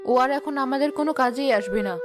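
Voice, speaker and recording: A woman's voice: high-pitched, fairly quick, natural voice. A woman voicing a practical, consoling village wife and mother in a cartoon, reassuring but firm. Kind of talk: Bangla cartoon voice acting. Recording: music behind the voice.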